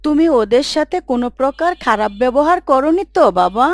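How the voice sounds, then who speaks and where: A kind, doting cartoon mother, voiced by a woman. She talks softly and coaxingly in a high voice, recorded dry. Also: animated, brisk, no room sound. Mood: caring. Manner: soft and coaxing.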